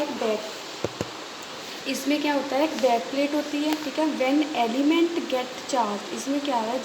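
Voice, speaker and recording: High-pitched Indian woman lecturing, echoey recording. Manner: checks in often with short confirming tags. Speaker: polytechnic electronics lecturer. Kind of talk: lecture, reading out notes and explaining them. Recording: echoey room with hiss and noise.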